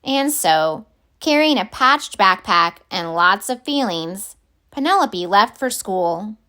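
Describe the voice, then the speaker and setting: A woman reading a children's picture book aloud in an expressive, deliberate storytelling manner. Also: medium pitch. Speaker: story reader.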